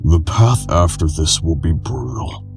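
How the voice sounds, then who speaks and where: Guy doing a really low voiced tired old game character, solemn n melancholy, measured dramatic monologue, music playing behind. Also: expressive, full character voice. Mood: somber, foreboding. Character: weary old keeper of memories, calm, solemn, melancholic. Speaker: amateur voice actor in a game fandub.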